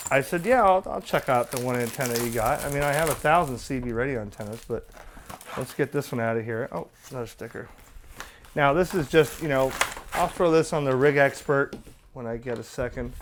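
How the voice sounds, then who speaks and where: A man's voice: medium pitch, a bit bright, a little hiss or hum. A man giving an unscripted product demo as a radio hobbyist, rambling in a folksy way with bits of trucker slang. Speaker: radio hobbyist reviewing gear for viewers.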